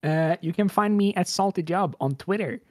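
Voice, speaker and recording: A woman's voice: fairly low pitch, normal speed, no room sound, clean studio sound. A woman chatting casually and jokingly as a player on a tabletop game stream. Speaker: player on the show.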